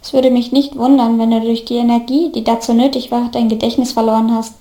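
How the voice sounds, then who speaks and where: A woman voicing a devoted, earnest young man in storybook dialogue, gently reassuring his best friend. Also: a little high, level tone, a little fast, echoey room, close to an everyday voice. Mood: reassuring, determined.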